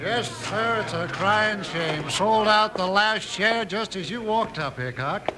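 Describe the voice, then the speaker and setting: High, slick western swindler. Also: male voice, animated, takes it slowly. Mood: smug. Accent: American. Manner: glib, salesman-like. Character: slick, smooth-talking swindler stock seller, male. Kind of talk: old-time radio western acting. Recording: noisy old radio recording.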